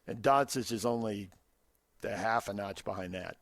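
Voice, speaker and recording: A man's voice: on the low side, moderate pace, a bit bright, no room sound, clean studio sound. An American man co-hosting a sports radio show, talking in a casual, discursive, conversational way. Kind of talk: casual sports talk commentary.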